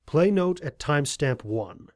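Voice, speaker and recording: A man's voice: fairly high pitch, animated, measured pace, background noise. A man playing a terse, musing archive reviewer in an audio drama, giving short playback commands.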